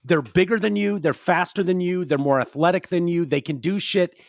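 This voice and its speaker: Blunt, profane man with a raised pitch. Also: brisk, gravelly, dry recording. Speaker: podcast host. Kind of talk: casual podcast chat.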